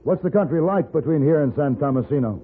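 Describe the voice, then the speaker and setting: An actor playing a cool, decisive gentleman gunfighter in a radio western, speaking in a clipped, authoritative way. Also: on the high side, animated, fast talker, close to an everyday voice. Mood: composed. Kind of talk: 1950s radio western acting. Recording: narrow-band old radio, faint hiss.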